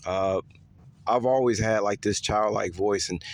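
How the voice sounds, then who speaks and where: A man talking in a casual, rambling monologue to his viewers, repeating himself with lots of fillers and letting his voice swing higher and lower. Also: measured pace, no room sound, studio quality. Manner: pitch swings up and down. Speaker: vlogger.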